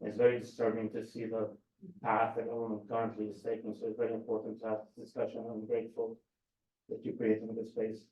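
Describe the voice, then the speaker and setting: A man from the Gaza Strip speaks as a panelist, earnest and measured, pausing often on ums. He sounds set back from the microphone, in a roomy space. The sound is dull and lo-fi. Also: fairly low pitch, monotone, far from the mic. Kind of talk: panel talk.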